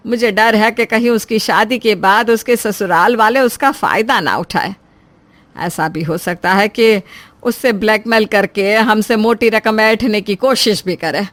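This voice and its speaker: A woman's voice: mid-range voice, unhurried, fairly bright tone, no echo, natural voice. A woman voicing a protective middle-aged mother of grown children, earnest and fretful, in a family story. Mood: worried. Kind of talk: Hindi family story voice-over.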